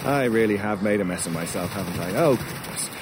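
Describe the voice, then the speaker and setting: An actor playing an urbane, sardonic crow in an audio drama, wordy and mockingly polite in a pompous way. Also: male voice, on the low side, lively intonation, moderate pace, lightly acted. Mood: fastidious, amused. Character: urbane, sardonic male talking crow, gleefully macabre scavenger. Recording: clear outdoor noise with cawing crows. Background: outdoor ambience, crows, vehicles.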